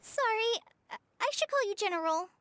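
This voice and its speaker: A woman's voice: extremely high pitch, expressive, fairly quick, fairly bright tone. A woman voicing a sweet, eager and politely shy young girl in a video game, with a bubbly manner. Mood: flustered, apologetic. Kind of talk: cartoonish game voice acting.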